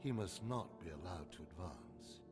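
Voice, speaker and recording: An actor playing a stern, scheming older male mentor in a video game, grave, deliberate and commanding. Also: sits low, expressive, music in the background. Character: stern, scheming older male Sith overseer and father, controlled.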